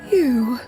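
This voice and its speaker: A woman's voice: a little high, dark tone. A woman voicing a proud, aloof immortal master in a video game, speaking in a formal, haughty, archaic way. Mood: startled, haughty. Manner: formal, haughty, archaic, refers to self as "one". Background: game music.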